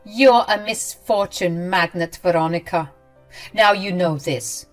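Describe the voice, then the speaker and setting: A woman voicing a cruel, scornful older mother-in-law who yells and sneers. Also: unhurried, faint music behind, natural voice. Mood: cutting. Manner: shrill, contemptuous, yelling.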